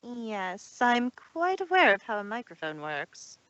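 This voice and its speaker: A woman's voice: on the high side, very animated, a little slow, a bit bright, no echo, lo-fi sound, voice pushed up. A woman voicing a smug, scheming female pony villain with a dry, commanding manner in a story reading. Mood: smug, dismissive.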